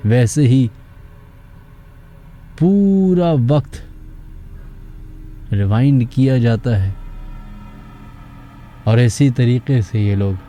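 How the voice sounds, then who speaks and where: A man with a Pakistani accent tells horror stories as a radio host. His voice is very dark and soft-edged, and he takes his time with a measured, slow delivery. Music plays clearly behind him. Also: mid-range voice. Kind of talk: dramatic horror storytelling for radio.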